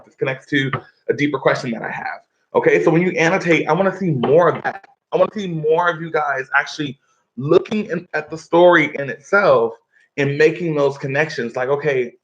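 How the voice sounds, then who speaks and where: A man teaching a live online reading lesson from his living room, speaking instructively and often checking in with his pupils. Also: on the high side. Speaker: schoolteacher. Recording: dull, lo-fi livestream audio.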